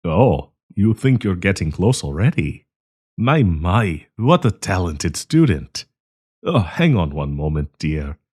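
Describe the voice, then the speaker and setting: A man acting a deep, courtly, sinister old professor. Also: sing-song, a little mellow, slightly rough, full character voice. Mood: teasing, fond. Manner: courtly, teasing, chuckling, deliberate. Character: kindly old male professor hiding a predatory, possessive menace. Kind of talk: intimate audio roleplay acting.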